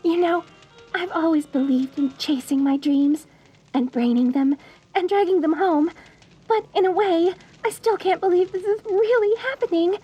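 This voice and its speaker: A woman playing a sweetly deranged, lovestruck mortician bride in a dark comedy audio roleplay, cooing genteel endearments at the listener with theatrical menace. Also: very high-pitched, normal speed, highs cut off, soft background music. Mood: lovestruck, dreamy.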